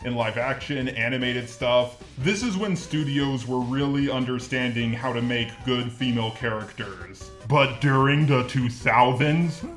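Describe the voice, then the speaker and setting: A man's voice: medium pitch, a little slow, few pauses, a bit bright, roomy sound, soft background music. A man's synthetic robot voice delivering scripted, opinionated commentary in a sardonic, sweary manner. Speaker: video essayist.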